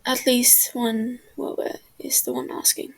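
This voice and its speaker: A woman narrating a fan fiction audiobook in a soft, hushed, half-whispered voice. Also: on the high side, little pitch movement, measured pace, breathy edge, poor audio.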